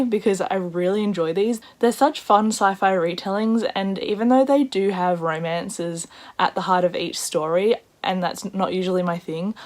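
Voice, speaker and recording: Croaky woman vlogging about her books, chatty and excitable, medium pitch. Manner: chatty, excitable, quick asides. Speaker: book vlogger. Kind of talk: casual vlog chat.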